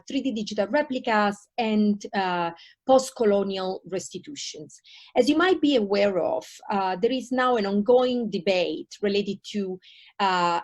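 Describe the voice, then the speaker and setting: A woman in her thirties from Italy with an Italian accent, giving an online university taster lecture with many hesitations and fillers. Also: medium pitch. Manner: hesitant, many ums and uhs, restarts.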